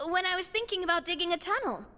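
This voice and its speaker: A woman playing a spirited, warm-hearted young adventurer in a video game, talking in an expressive, chatty, earnest way. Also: extremely high pitch, fast, a little hiss or hum. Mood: playful. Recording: long hall reverb with music.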